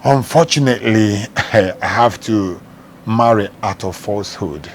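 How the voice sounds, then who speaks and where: Deep-voiced Nigerian man telling his story. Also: unhurried, slight background noise. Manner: earnest, steady storytelling in plain words. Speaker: evangelist and charity founder, interview guest.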